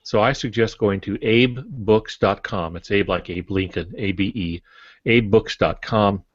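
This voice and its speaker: A man's voice: fairly low pitch, unhurried, husky, no echo, lo-fi sound. An older man co-hosting a podcast, talking casually and giving advice like a teacher in a panel discussion. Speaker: podcast co-host and writing teacher.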